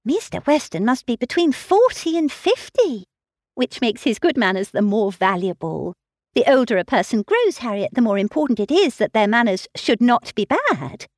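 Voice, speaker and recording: A woman reading a haughty young gentlewoman. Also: fairly high pitch, no room sound, studio quality. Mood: lecturing. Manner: crisp and condescending. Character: self-assured, snobbish young gentlewoman, lecturing.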